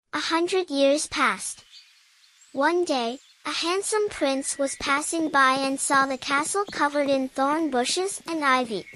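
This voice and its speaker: A woman reading a children's bedtime story aloud in a slow, gentle storytelling manner. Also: high-pitched, no echo. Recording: with faint background music.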